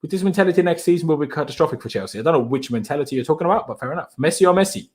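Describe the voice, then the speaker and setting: A young man with a German accent, a native German speaker, hosting a casual football live stream and answering viewer questions in a chatty, animated way. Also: a little high, racing. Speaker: football channel host.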